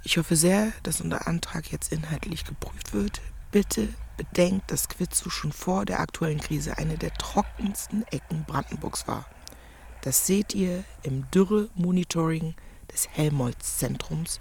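Creaky-voiced, earnest village woman. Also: low-pitched, a little slow, a little mellow, slight background noise. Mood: earnest, formal. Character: outspoken village woman, earnest, indignant campaigner against drought. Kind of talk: naturalistic radio play dialogue.

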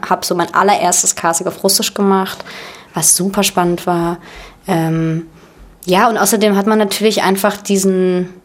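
A woman in her twenties from Germany, a guest chatting casually with many fillers and restarts. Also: mid-range voice. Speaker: actress and guest. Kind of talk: casual chat.

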